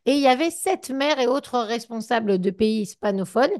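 A woman's voice: on the high side, moderate pace, darkish tone. A woman interviewer putting long, conversational questions to a guest, with some hesitant phrasing.

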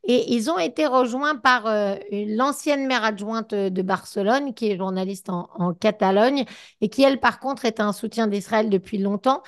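A woman with a fairly high voice conducts a broadcast interview. She builds long questions in a conversational way, pausing and rephrasing as she goes.

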